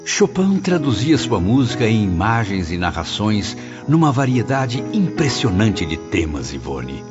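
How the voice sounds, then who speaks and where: A Brazilian man playing an admiring spirit narrator, telling an audiobook story in expressive, literary language. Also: fairly low pitch, unhurried, few pauses. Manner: expressive, formal. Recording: slightly dull audio over music.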